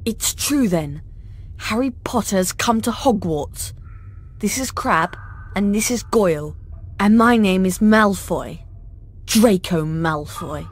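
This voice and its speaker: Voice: medium pitch, expressive, slow. A performer with a British accent playing a haughty schoolboy bully of about eleven in a video game, drawling and sneering. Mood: smug. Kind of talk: video game character acting.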